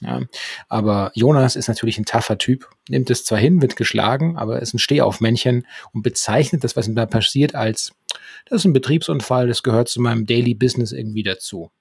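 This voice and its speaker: A man with a husky, gravelly voice casually retelling a story as a podcast co-host at a close microphone. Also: medium pitch, a little fast, no room sound. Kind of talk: conversational recap of a radio play. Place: small room at home.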